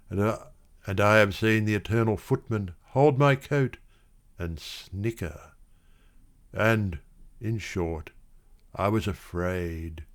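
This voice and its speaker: A man reciting literary verse dramatically, with measured, expressive phrasing. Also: on the low side. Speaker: poetry reader.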